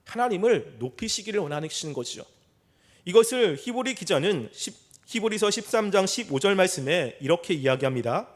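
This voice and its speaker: A male preacher with a notably high-pitched voice for a man. He delivers an expository sermon in a measured way, explaining each point in formal, polite phrasing. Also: expressive.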